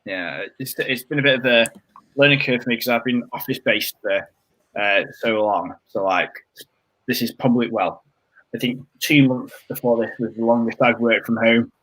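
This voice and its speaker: A man hosting a developer stream, friendly and loose, padding his questions with ums and uhs. His voice has a bright, forward edge to it. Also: medium pitch, normal speed, rough recording. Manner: rambling, full of fillers. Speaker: host.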